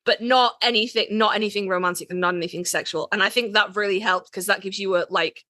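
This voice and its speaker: A woman's voice: medium pitch, fairly even intonation, quick pace, pushed and bright, dry recording, studio quality. A woman co-hosting a podcast, chatting casually with a wry, emphatic way of talking. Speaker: podcast co-host. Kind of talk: casual chat.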